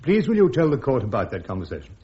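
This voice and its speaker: An actor playing a polite, restrained army major on trial in a radio drama, husky, courteous and a little hesitant. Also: male voice, medium pitch, huge pitch swings, fast, mellow. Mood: polite, controlled. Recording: dull, band-limited radio recording.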